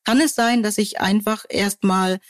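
A woman from Germany co-hosting a podcast, telling stories wryly and quoting people in lively voices. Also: medium pitch, measured pace, no echo, clean studio sound. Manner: wry storytelling, quoting others in lively voices. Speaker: podcast co-host. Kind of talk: casual conversation.

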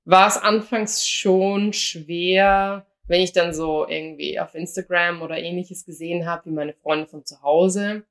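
A woman's voice: fairly low pitch, fairly even intonation, a little slow, hardly any pauses. A young woman from Austria, co-hosting a casual podcast and telling stories with quoted dialogue. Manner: chatty, anecdotal, acts out quoted dialogue. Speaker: podcast co-host.

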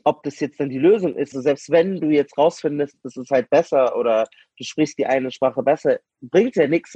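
A man's voice: medium pitch, a little mellow, slightly rough, no echo, muffled recording. A young man in a casual group discussion, thinking aloud with rambling restarts. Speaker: panel guest.

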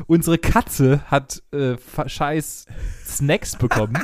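A man's voice: on the high side, very slow, darkish tone. A man in his thirties chatting casually, rambling through stories with many fillers, restarts and frequent laughs. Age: 30s. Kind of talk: casual chat.

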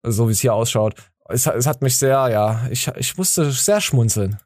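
A man's voice: mid-range voice, moderate pace, a little mellow, a little hiss or hum. A man hosting a podcast, joking and teasing in casual chat, with many fillers and restarts. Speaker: podcast host.

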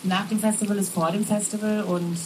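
A woman's voice: medium pitch, fairly even intonation, fairly quick, a little hiss or hum, rough recording. A woman from a festival booking team, talking chattily on a live panel with many fillers and tag questions.

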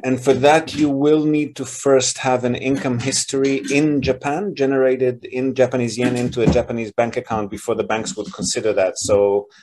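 A man at a middle pitch walks through property loans and purchase steps in a relaxed, explanatory way. He often pauses on "um" and "uh" and restarts his sentences as he goes. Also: noisy background. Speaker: real estate advisor and podcast host. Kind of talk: business call recording, casual advisory.

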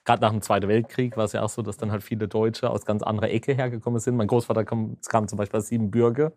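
A man's voice: fairly low pitch, little pitch movement, fast talker, a little mellow, dry recording, clean studio sound. A man from the Palatinate, answering hesitantly with many restarts. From Palatinate, Germany. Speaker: guest.

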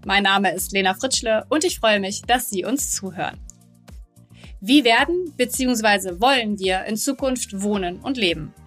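A woman's voice: mid-range voice, animated, normal speed, a bit bright, soft background music. A woman hosting a podcast interview, reading friendly, scripted introductions and asking questions. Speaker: podcast host.

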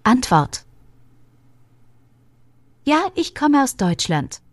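A woman presents a language lesson, asking practice questions and reading model answers in a measured, instructive way. Her pitch moves in lively curves, and the recording is dry and close. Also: no echo. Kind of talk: clear scripted lesson delivery.

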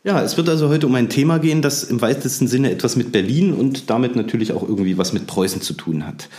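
A man's voice: fast, roomy sound. A man in his forties hosting a museum history podcast, chatty with frequent fillers and restarts. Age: 40s. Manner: chatty, many fillers and restarts, lively rises in pitch. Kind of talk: casual podcast chat.

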